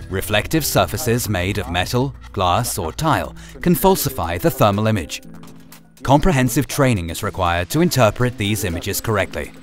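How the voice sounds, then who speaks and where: Low, lively male training film narrator. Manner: measured, instructional. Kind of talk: scripted narration. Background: music.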